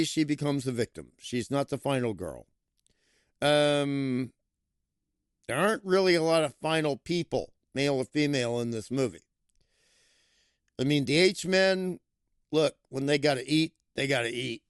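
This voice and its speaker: A man giving a casual, playful film review, with lively emphasis that he stretches out for effect. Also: fairly high pitch, no echo, clean studio sound. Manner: playful, animated, drawn-out emphasis. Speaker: movie reviewer.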